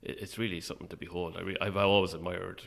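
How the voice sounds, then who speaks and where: A man's voice: low voice, little pitch movement, quick pace, vocal fry, a bit bright. An Irish man chatting casually as an interview guest, a little hesitant and self-effacing. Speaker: guest, playwright.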